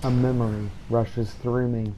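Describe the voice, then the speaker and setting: A man narrating a game playthrough in character, as a scripted story told in a grave, measured manner. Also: medium pitch, little pitch movement, warm tone, a little hiss or hum, rough recording.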